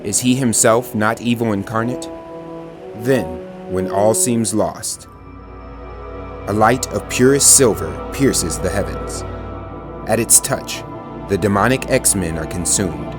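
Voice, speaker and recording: A man narrates a dramatic script with grave, portentous weight. His voice is fairly low and unhurried, set over clear background music.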